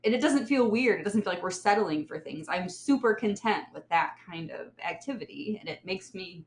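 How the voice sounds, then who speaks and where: A woman's voice: medium pitch, animated. A woman chatting casually and reminiscing about family in an easygoing way. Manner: easygoing, quick to laugh. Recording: echoey, roomy sound.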